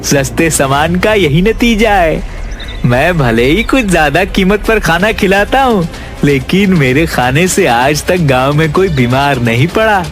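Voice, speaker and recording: A man voicing a greedy, scornful father who sells sweets in a village, in a Hindi cartoon. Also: fairly high pitch. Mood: accusing. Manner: grumbling, scornful. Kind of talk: Hindi moral-story cartoon acting.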